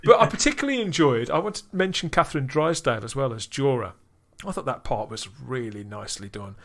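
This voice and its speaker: A man's voice: mid-range voice, animated, fast talker, fairly bright tone. A man hosting a podcast review chat, enthusiastic and pulling his co-hosts in with tag questions. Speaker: podcast host. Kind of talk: casual review discussion.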